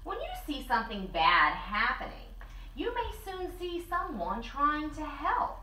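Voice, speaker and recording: A woman co-hosting a story time, reading a picture book aloud in an expressive, deliberate way with drawn-out words. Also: sits high, slight background noise. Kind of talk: animated children's story read-aloud. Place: small living room with fireplace and bookshelves. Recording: roomy, slightly distant camera mic.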